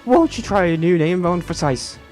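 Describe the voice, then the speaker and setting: A man's voice: high voice, animated, normal speed, darkish tone, faint music behind, voice pushed up, rough recording. A man voicing a courtly, flowery wandering renamer from a video game, in a grandiose, formal, declaiming manner. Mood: grandly courteous.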